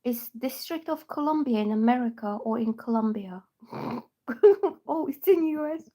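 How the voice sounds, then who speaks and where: A woman's voice: on the high side, normal speed, dark tone. A woman from Bulgaria, freshly woken up, chatting casually with viewers on a tarot livestream through her phone. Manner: chatty and meandering, reads viewer names aloud. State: just woken up. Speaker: tarot reader.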